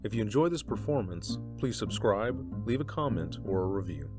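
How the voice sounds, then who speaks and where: A man's voice: lowish voice, animated, normal speed. A man giving a scripted introduction as announcer for a radio drama. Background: plucked guitar music.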